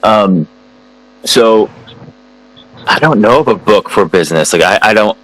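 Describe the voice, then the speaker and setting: American man, guest on a video call, low-pitched, filler-heavy, halting, dry close sound. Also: animated, a bit bright, no echo, poor audio. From United States. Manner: hesitant, many fillers and restarts. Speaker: guest speaker answering students. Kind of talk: casual online conversation.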